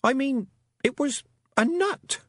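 A man's voice: very high voice, animated, slow, fairly bright tone, no echo, studio quality, pitched above the performer's own voice, exaggerated voice. A man voicing an earnest, practical young girl who speaks up indignantly.

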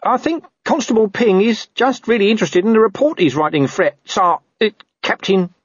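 A man's voice: lively intonation, moderate pace, no echo, dull audio. A man voicing an anxious, placating, put-upon police corporal in a flustered wail for a fantasy audiobook. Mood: defensive. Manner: high-pitched, flustered, wailing.

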